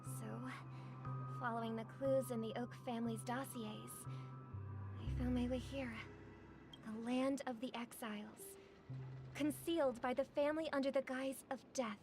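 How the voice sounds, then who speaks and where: An actress playing a gentle, poised young songstress in a game, speaking in a measured, formal, earnest way. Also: female voice, on the high side, fairly even intonation, over music, close to an everyday voice. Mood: grave, reflective. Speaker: game character voice. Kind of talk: scripted game dialogue.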